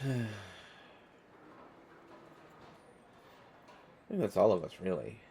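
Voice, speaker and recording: Low-voiced, stammering stressed traveler, played by a man. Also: big pitch swings, quick pace, gravelly, dark tone, breathy edge, heavily acted. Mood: hesitant, wry. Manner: hesitant, stammering, rambling. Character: stressed, self-deprecating, observant male stranger waiting at airport. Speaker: audio roleplay performer. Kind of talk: one-sided conversational audio roleplay.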